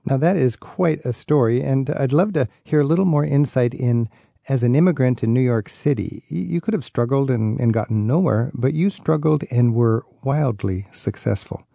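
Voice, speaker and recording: A man's voice: mid-range voice, moderate pace, gravelly, warm tone, no room sound. A man hosting a radio travel show, asking questions in a friendly, curious way. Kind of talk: radio interview.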